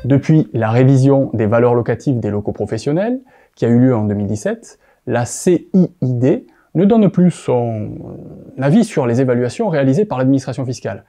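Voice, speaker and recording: Very dark-voiced French man presenting. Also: medium pitch, lively intonation, fairly quick, faint music behind. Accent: French from France. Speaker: presenter of explainer videos. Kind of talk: scripted explainer narration.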